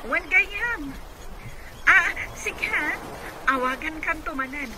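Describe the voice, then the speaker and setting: A woman's voice: high voice, animated, takes it slowly. A woman playing an eager, nosy female friend in an Ilocano radio comedy-drama. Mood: chatty, surprised. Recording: noisy radio drama mix.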